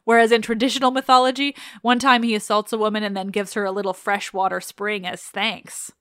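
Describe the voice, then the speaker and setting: A woman's voice: medium pitch, level tone, brisk, a bit bright, smooth, dry recording, clean studio sound. A woman hosting a podcast, commenting on mythology casually with wry, sarcastic asides. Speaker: podcast host.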